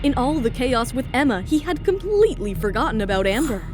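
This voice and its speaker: A woman's voice: high voice, big pitch swings, fairly quick, over music. A woman narrating a scripted romance audio drama.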